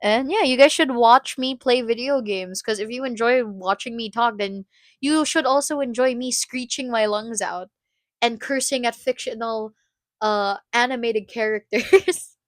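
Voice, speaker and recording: Woman streamer from the Philippines with a high-leaning voice, loose rambling chat full of restarts and laughs, dry sound. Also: unhurried, no echo. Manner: rambling, self-interrupting, laughs often. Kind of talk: casual live-stream chat.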